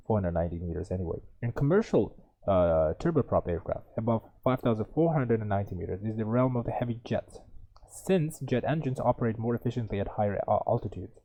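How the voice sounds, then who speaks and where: A man's voice: on the low side, dark tone, muffled recording. A young man tutoring, reading an exam passage aloud with many stumbles and self-corrections, then thinking through the answers. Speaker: IELTS tutor.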